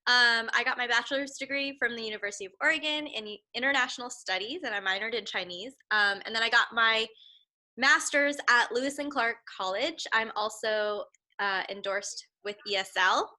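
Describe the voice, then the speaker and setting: A young woman introduces herself informally as a teacher. Her voice is very bright and a little higher than average. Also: normal speed. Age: young adult. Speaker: second grade teacher.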